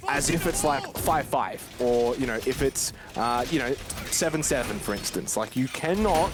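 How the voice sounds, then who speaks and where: A man's voice: mid-range voice, expressive, normal speed. A man commentating a live esports match in a conversational, informal way, with asides to listeners. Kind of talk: live game commentary and casual banter. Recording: clear game noise behind commentary. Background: video game sound.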